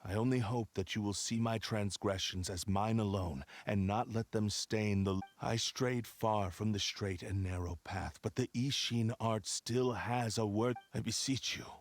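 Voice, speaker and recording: A man voicing an ancient, proud and repentant sword spirit in a game, speaking formally and gravely. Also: on the low side, steady tone, a little slow, hardly any pauses, lightly acted. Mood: remorseful, pleading. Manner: formal, grave, archaic phrasing. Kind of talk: dramatic game voice acting.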